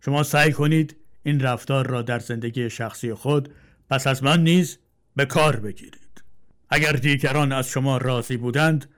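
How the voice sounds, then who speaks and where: A man voicing a kind older father who gently instructs his sons, calm and earnest. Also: medium pitch, close to an everyday voice.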